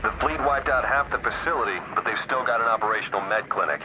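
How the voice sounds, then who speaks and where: An actor playing an urgent, commanding military officer in a video game, giving orders in a clipped, authoritative way. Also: male voice, mid-range voice, quick pace, close to an everyday voice. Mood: briskly businesslike. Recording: loud battle noise over voice.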